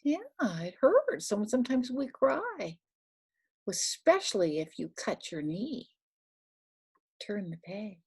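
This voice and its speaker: An older woman reading a picture book to very young children. Her voice is husky and breathy, swinging widely in pitch, and she takes her time. She talks simply, putting questions and small prompts to the child. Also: very animated, slow pace, long pauses, darkish tone. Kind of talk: interactive picture-book read-aloud for toddlers.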